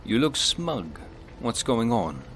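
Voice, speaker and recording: An actor voicing a steady, friendly fantasy hero in a video game, in a plain, understated manner. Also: male voice, medium pitch, slow pace, a little hiss or hum. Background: music.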